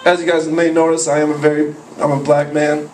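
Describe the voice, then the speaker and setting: A young man doing profane open mic stand-up, rambling with a slightly high voice. It is caught by a far camera in a small cafe, so it sounds roomy and distant, with clear room noise behind it. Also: flat delivery, brisk. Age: young adult. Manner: profane, rambling, jumps into yelped character voices. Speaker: stand-up comedian. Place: small cafe with a live audience. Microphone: handheld mic, filmed from a far camera. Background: audience laughter.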